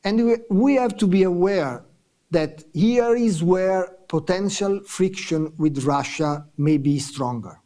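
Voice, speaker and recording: A man giving prepared, formal remarks as a panelist at a conference discussion, in a measured delivery. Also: high-pitched, dark tone.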